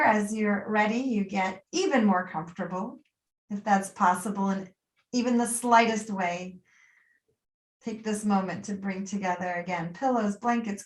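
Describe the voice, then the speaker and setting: A woman with a mid-range voice guides a relaxation practice in a roomy, echoing space. She speaks as a yoga teacher would, soothing and gently instructive, leaving pauses between short, unscripted phrases. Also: mid-range voice, distant mic. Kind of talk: guided meditation, spoken live and unscripted.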